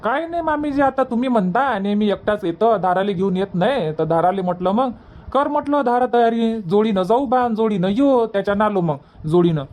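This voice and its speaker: A woman's voice: medium pitch, animated, brisk, darkish tone, natural voice. A woman voicing an agreeable village man in a cartoon family comedy, plain-spoken and conversational, with a Varhadi Marathi accent. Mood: explaining.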